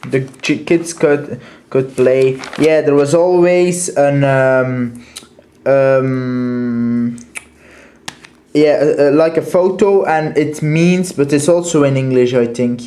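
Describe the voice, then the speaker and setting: A man's voice: mid-range voice, takes it slowly, dark tone, reverberant. A young man with a Dutch accent casually reviewing a toy train, hesitant and full of fillers and restarts. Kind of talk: casual show-and-tell review.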